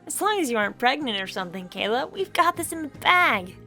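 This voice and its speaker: A woman voicing a bubbly, pushy young woman friend, talking upbeat and eager in a raised, bright voice. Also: high voice, very animated, a little fast, soft background music, pitched above the performer's own voice, full character voice.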